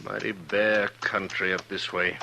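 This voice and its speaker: Radio Western role: touchy ex-seafarer, lowish forceful voice, salty and guarded, on a noisy old broadcast recording. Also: male voice, level tone, measured pace, vocal fry, exaggerated voice. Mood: weary, wistful. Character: gruff, brooding, touchy former seafaring man. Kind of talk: 1950s radio Western acting.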